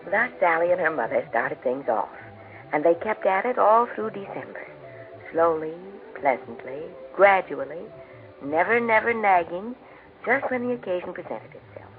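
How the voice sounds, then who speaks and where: An American man narrating a family Christmas story as a gentle 1940s radio storyteller. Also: sits high, expressive, normal speed, soft background music. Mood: storytelling. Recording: tinny 1940s radio recording.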